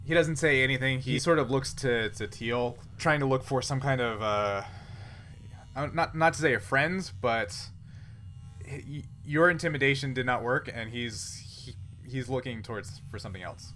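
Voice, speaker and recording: A man running a casual tabletop sci-fi roleplay as game master, halting and pausing to find his words. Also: medium pitch, bright, forceful tone, faint music behind.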